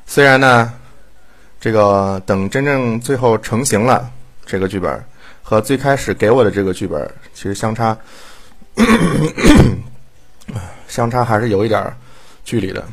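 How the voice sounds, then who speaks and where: A man chatting casually as a drama director, with a blunt, dryly teasing and halting delivery. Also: fairly low pitch. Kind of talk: casual chat.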